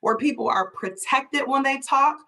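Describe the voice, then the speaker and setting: Emphatic, higher-pitched woman host. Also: normal speed, bright, forceful tone. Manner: emphatic, builds up with scenarios and rhetorical questions. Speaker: host, DEI consultant. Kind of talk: passionate conversational explaining.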